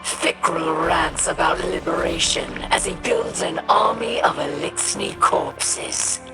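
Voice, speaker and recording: A woman's voice: on the low side, slow, over loud music. An actress playing a proud, scornful female alien warrior in a video game, with biting, formal speech. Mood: disdainful. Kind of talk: video game mission dialogue.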